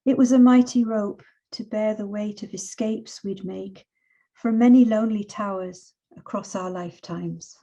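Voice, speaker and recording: A woman in her sixties reading and introducing her own poetry in a measured, expressive way. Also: mid-range voice. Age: 60s. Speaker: poet.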